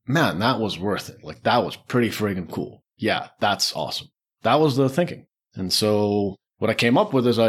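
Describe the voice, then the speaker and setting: A man's voice: fairly low pitch, slightly rough. A man in his forties, a podcast guest casually telling personal stories in an informal way, acting out remembered thoughts as he goes. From Lebanon, Czech Republic and the UAE. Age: 40s.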